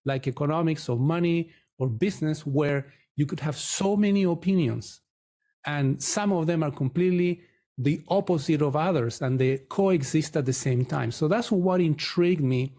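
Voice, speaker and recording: Middle-aged man, slightly high pitch, soft mellow tone, conversational podcast guest. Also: moderate pace. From Chile. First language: Spanish. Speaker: podcast guest, mortgage broker. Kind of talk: conversational interview storytelling.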